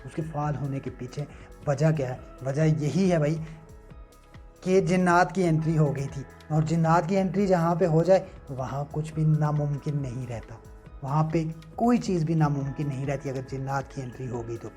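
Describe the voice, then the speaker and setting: A man's voice: on the high side, moderate pace, darkish tone. A man delivering news analysis as an online commentator, emphatic and rhetorical, moving between scripted lines and casual asides. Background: music.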